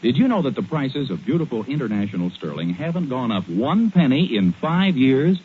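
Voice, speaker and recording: A man's voice: mid-range voice, very animated, normal speed, husky. A male radio announcer delivering folksy, scripted sponsor patter in 1940s advertising style. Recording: muffled 1940s radio recording.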